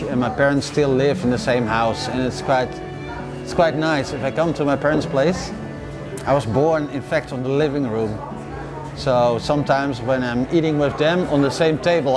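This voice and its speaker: A man from the Netherlands with a Dutch accent, speaking English as an interviewee in a plain, matter-of-fact way with frequent "uh" fillers. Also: medium pitch. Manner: plain-spoken, lists feats matter-of-factly, frequent uh fillers. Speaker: adventurer interviewee. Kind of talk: casual interview talk. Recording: music playing underneath. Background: guitar music.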